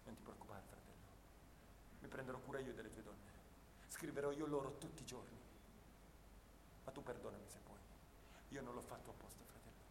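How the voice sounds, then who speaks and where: A man's voice: medium pitch, a little fast, pauses often, lightly acted. Man acting a young anguished soldier in echoing hall. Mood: grieving, remorseful. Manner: intense, dramatic storytelling. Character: young First World War soldier, anguished, tender, remorseful. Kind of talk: theatrical monologue narrating war memories. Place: live radio theatre performance in a hall. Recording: echoey theatre hall, noisy distant recording.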